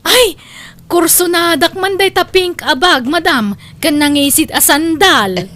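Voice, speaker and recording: A woman's voice: extremely high pitch, measured pace, bright, forceful tone, heavily acted. A woman playing a bossy, scheming housemaid who grumbles easily, in an Ilocano comedy drama. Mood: eager, pushy. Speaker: voice actress.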